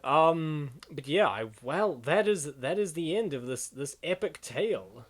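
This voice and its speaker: Guy w kinda high voice, excitable rambly host chatting over a comic dub. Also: unhurried. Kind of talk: casual chatty reaction commentary.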